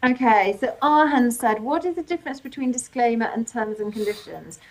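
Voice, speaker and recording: A woman hosting a live legal webinar, reading out audience questions and answering them informally, her voice getting croaky. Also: a little high, lively intonation, a little fast, poor audio. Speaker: legal workshop host.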